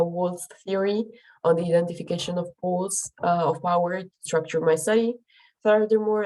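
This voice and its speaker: A woman's voice: fairly low pitch, mellow, rough recording. A young woman presenting her research, her voice affected by a cold, speaking carefully with frequent ums and restarts. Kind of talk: academic presentation.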